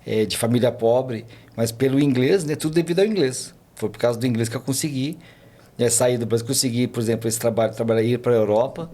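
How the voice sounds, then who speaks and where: A man's voice: medium pitch, fairly quick, dark tone. A man, a podcast guest telling travel stories in a rambling casual way, full of fillers and restarts. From Brazil. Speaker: podcast guest, tour guide. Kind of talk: casual chat, storytelling.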